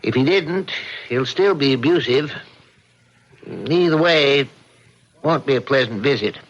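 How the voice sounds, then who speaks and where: An actor playing a concerned, cautious husband in an old-time radio mystery, deliberate and insistent. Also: male voice, medium pitch, lively intonation. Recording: dull, band-limited radio drama recording.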